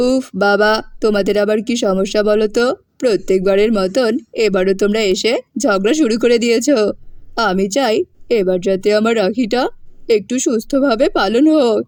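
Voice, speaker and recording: A woman's voice: medium pitch, moderate pace, darkish tone, no room sound, clean studio sound, voice pushed down, lightly acted. A woman voicing an earnest, pleading college-age younger brother in a cartoon. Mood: exasperated, pleading. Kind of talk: cartoon voice acting.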